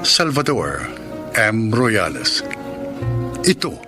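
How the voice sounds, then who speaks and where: A man's voice: on the low side, big pitch swings, very slow, over loud music. A man with a Filipino accent announcing and narrating a radio drama in a dramatic classic radio style.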